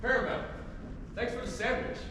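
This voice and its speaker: A young male high school actor playing a laid-back, aloof jazz horn player in a stage play. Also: normal speed. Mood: offhand. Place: school theatre stage, distant microphone. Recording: echoey noisy theatre hall from far off.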